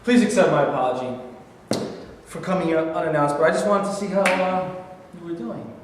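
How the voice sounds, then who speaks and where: A man's voice: fairly high pitch, brisk, close to an everyday voice. A man playing a blunt ex-boxer uncle. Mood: coaxing. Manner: blunt, persuasive, working-class. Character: middle-aged former boxer uncle, blunt and coaxing. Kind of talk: staged play reading. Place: large bare rehearsal studio. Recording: very echoey room, faint hiss.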